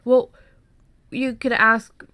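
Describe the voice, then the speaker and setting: A woman's voice: a little high, flat delivery, extremely slow, pushed and bright, smooth, no room sound. A woman podcast co-host chatting casually in an informal, salty-tongued way. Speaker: podcast co-host on fiction writing. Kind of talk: casual chat.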